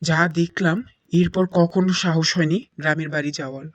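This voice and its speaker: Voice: mid-range voice, fairly even intonation, brisk, dry recording, close to an everyday voice. An actor voicing a strict father in a cartoon, who forbids a trip and tells a grave ghost story. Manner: stern, then hushed storytelling. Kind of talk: cartoon voice acting.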